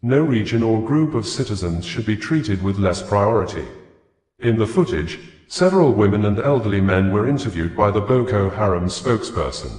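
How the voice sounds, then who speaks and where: A man reading scripted news in a formal, measured manner. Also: on the low side, animated, darkish tone, reverberant, poor audio. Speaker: news reader.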